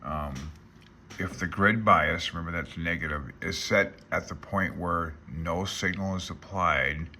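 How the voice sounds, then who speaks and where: Very deep-voiced man, informal explainer. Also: measured pace, bright, forceful tone, slight background noise. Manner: folksy, offhand, thinking aloud. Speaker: instructor reading through a radio handbook. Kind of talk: informal explanation mixed with reading aloud.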